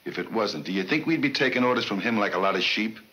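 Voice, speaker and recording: A brash slangy 1940s gangster, talks fast, sharp voice. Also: male voice, fairly low pitch, animated, poor audio. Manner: slangy, rapid patter. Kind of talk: 1940s film serial acting.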